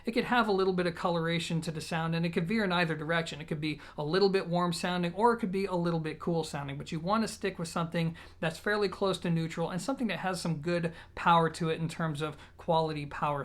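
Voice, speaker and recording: A man presenting an audio gear review, talking conversationally and directly to his viewers. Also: sits high. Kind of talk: product review talk to camera.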